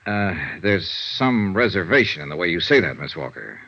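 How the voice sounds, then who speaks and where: An actor playing a calm, businesslike insurance detective in 1950s radio noir, asking dry, clipped, understated questions. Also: male voice, low voice, moderate pace, full character voice. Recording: dull vintage radio recording.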